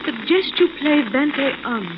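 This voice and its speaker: An actress playing a spirited, inquisitive young heroine in a 1940s radio drama. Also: female voice, high voice, animated, unhurried. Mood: curious. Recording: very noisy, muffled old radio recording.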